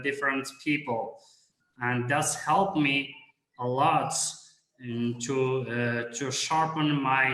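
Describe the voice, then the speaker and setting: A man's voice: medium pitch, bright, forceful tone, echoey room, low-quality recording. A man, speaking in a hesitant way with many fillers as a guest on a video call. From Morocco. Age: 30s. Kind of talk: casual video call chat.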